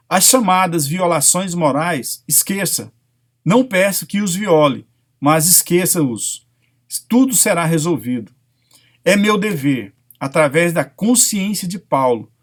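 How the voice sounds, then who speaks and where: High-pitched Brazilian man reading aloud. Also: lively intonation, slow pace. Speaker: narrator reading self-help spiritual texts. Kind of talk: read-aloud spiritual lecture.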